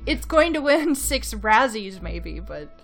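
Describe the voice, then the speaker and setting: A woman co-hosting a podcast, explaining things casually with dry, measured phrasing. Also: a little high, sing-song, creaky, a bit bright, faint music behind. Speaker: podcast co-host.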